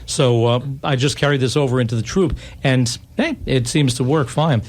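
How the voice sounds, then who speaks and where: A man's voice: mid-range voice, normal speed. A man hosting a casual live radio interview in a studio, chatting in a folksy way. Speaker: radio host and performer.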